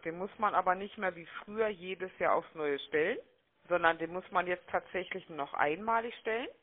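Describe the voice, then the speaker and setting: A woman making a phone sales pitch, chatty and persuasive, often checking for agreement, heard over a telephone line. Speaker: telemarketing caller. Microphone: telephone call.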